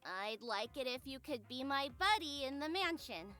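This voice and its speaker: A woman's voice: high voice, expressive, moderate pace, pushed and bright. A woman voicing an awkward, scheming middle-school magical girl in a visual novel, with music behind her. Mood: awkward, hesitant.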